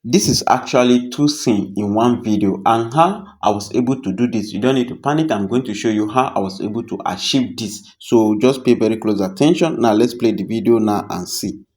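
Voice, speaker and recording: A man's voice: fairly low pitch, brisk, few pauses, a little mellow, slightly rough. A man presenting a casual tutorial, speaking directly to viewers in a reassuring, repetitive way. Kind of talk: casual screen-recorded tutorial.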